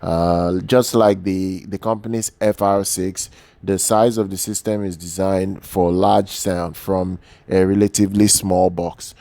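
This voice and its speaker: A man giving an unscripted product overview as an audio gear reviewer, speaking haltingly and often repeating words and phrases. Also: low-pitched, flat delivery, creaky, warm tone, no echo.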